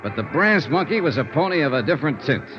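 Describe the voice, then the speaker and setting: Wry American radio detective, lively inflection. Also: male voice, fairly high pitch, fast, over music. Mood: wry narrating. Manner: dry, clipped, wry. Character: wry, unflappable male insurance investigator, hard-boiled radio detective. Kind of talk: 1950s American radio detective acting with first-person narration. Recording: dull vintage radio drama recording.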